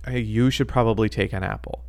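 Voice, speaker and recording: A man co-hosting a podcast in casual chat, talking haltingly with many ums and restarts. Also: fairly low pitch, fairly even intonation, vocal fry, a little mellow, clean tone, no echo. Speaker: podcast co-host.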